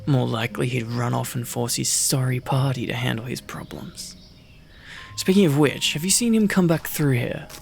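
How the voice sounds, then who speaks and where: A man playing a prickly, easily flustered wolfkin mercenary in an ASMR roleplay, gruff and sardonic, secretly protective. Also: medium pitch, normal speed, natural voice. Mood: irritated, sardonic.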